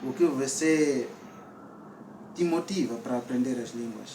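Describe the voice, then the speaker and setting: A man's voice: mid-range voice, measured pace, mellow, roomy sound, recorded from a distance, a little hiss or hum, poor audio. A man from Mozambique with a central Mozambican accent, hosting a casual vlog chat and switching between English and Portuguese with many fillers. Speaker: vlog host.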